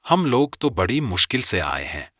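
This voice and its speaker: A man's voice: on the low side, sing-song, moderate pace, fairly bright tone, no room sound, studio quality. A man voicing an older, practical head of the family in a cartoon drama, with emphatic delivery. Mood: urgent. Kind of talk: animated family drama dialogue.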